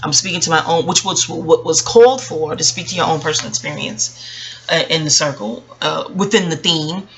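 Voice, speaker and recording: A woman speaking casually and reflectively about her own experience, rambling with emphatic pauses and frequent self-corrections. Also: deep voice, fairly bright tone, rough recording. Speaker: vlogger. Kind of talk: casual reflective personal storytelling.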